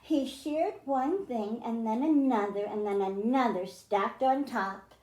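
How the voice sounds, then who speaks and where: A woman storytime host reads to young children at a slow, deliberate pace. Her voice is high and mellow, with expressive phrasing suited to a picture book. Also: echoey room, low-quality recording.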